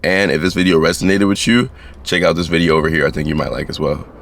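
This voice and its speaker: Young guy with a super deep voice, laid-back, chatting advice at the camera. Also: fairly even intonation, quick pace, gravelly, a bit bright, a little hiss or hum. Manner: laid-back, chatty, addresses viewers as guys. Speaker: YouTube creator. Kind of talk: casual advice vlog to camera.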